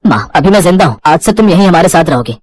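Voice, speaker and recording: Selfish young city husband, voiced by a man: very quick delivery, evasive, cold, dry studio sound. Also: sits high, animated, no echo. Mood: surprised. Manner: defensive, cold, some English words.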